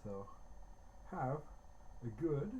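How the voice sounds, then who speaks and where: A man talking casually and haltingly to viewers on a livestream, in an understated way. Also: medium pitch, big pitch swings, warm tone, a little hiss or hum, low-quality recording.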